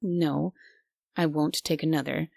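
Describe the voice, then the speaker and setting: Casting: woman to play a prim, bossy female guardian angel for roleplay audio; low, unhurried delivery, secretly kind under the strictness. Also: steady tone, gravelly, darkish tone, no echo, studio quality, heavily acted. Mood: stubborn, flustered. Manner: bossy, prim, grudgingly caring. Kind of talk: immersive roleplay audio drama, ASMR.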